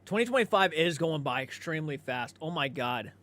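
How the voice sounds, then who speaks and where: A man's voice: a little high, animated, fairly quick, bright, forceful tone. A man, maybe in his thirties, chatting casually as a livestream host, laid-back and conversational, swearing freely and responding to viewer comments.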